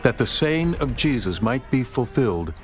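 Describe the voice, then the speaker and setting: A man's voice: mid-range voice, very animated, moderate pace, soft background music. A man narrating dramatized scripture in a solemn, formal manner.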